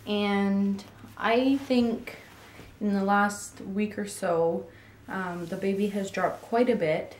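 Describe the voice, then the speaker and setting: A woman's voice: mid-range voice, a little hiss or hum. A woman in her twenties with a raw, sore throat from a cold, chatting casually in a pregnancy update vlog with many ums. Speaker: vlogger. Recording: echoey room, mic at a distance.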